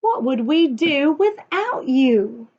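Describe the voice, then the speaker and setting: Woman voicing a slow, amazed old storybook elephant. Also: sits high, big pitch swings, darkish tone, muffled recording, exaggerated voice. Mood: amazed, fond. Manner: gasping, drawn-out, emphatic delivery. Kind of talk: children's storybook character voice.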